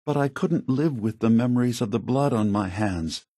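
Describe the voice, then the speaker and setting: An actor voicing a remorseful, idealistic synth leader in a video game, speaking in a grave, measured and deliberate way. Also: male voice, medium pitch, mellow, no room sound, clean studio sound, lightly acted. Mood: pleading, remorseful. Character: solemn, remorseful male synth android leader, idealistic and weary.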